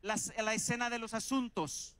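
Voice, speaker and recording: A man on an arena stage interpreting a sermon phrase by phrase into a handheld microphone, with quick small restarts. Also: very high-pitched, fairly even intonation, a bit bright, clear, resonant tone. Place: large indoor arena stage.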